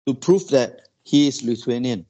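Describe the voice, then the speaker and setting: A man's voice: mid-range voice, mellow. A man, telling a story in casual group conversation, with many restarts and "you know" fillers. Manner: halting, restarts phrases, uses "you know" and "lah".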